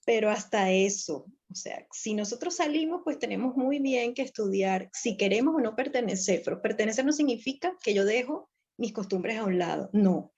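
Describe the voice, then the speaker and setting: A woman speaking calmly and reflectively as a guest in a casual conversation over a video call. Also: fairly high pitch, moderate pace, few pauses, breathy edge, lo-fi sound.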